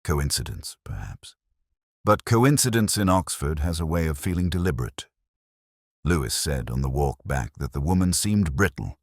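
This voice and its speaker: A man reading scripted first-person mystery narration in a measured, literary, melancholy manner. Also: a bass voice, no echo, studio quality.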